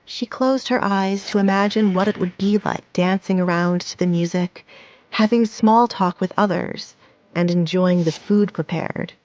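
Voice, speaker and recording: A woman's voice: mid-range voice, a little slow, darkish tone, dull audio. A woman giving scripted, dramatic story narration as the narrator of an audio drama.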